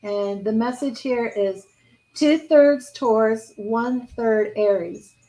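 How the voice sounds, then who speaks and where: Female tarot reader, hoarse and fading voice, fairly high, mixes read-aloud card text with loose chat. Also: takes it slowly, dark tone, low-quality recording. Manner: reads card meanings aloud, then chats and restarts. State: hoarse, losing her voice. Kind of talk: live tarot reading, partly read aloud.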